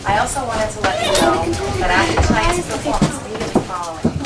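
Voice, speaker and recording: A woman's voice: a little high, very animated, fast talker. A woman giving an informal pre-show announcement as host in a small performance space. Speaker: host introducing a play. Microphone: distant room microphone. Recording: noisy, echoey small venue, distant mic.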